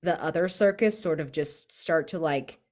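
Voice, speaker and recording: A woman with a low-pitched voice takes part in a tabletop roleplaying game. She describes things casually and informally, thinking aloud as she goes. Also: moderate pace, darkish tone.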